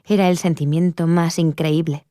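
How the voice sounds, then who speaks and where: A woman's voice: deep voice, steady tone, moderate pace, dark tone, no room sound, clean studio sound, lightly acted. A woman voicing an earnest, reflective woman giving a personal testimony in a dubbed interview. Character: earnest adult woman recounting her near-death experience.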